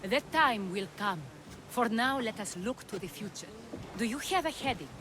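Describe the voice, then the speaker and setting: A woman playing a composed, sorrowful ally who gives guidance in a video game, speaking in a measured way. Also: fairly high pitch, animated, lightly acted. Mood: composed, purposeful. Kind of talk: video game cutscene acting. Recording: clear ambient noise behind.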